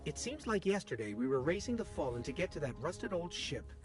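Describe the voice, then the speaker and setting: A man voicing a small, chatty robot companion in a video game, an eager and wry helpful guide with an expressive, conversational delivery. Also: on the high side, a little fast. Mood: wistful, wry. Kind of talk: video game voice acting, mission dialogue. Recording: game music under the voice. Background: game music.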